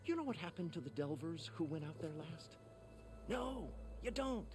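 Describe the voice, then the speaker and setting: An actor playing a blunt, money-minded merchant in a video game who gives dramatic, fretful warnings. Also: male voice, fairly high pitch, sing-song, moderate pace, background noise. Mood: alarmed warning. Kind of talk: video game character acting. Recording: music behind the voice.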